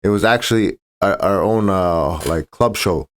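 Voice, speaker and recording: Male boxer guest, low voice, casual, halting restarts. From Czech Republic. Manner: fast, restarting, stumbling over words. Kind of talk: casual interview talk.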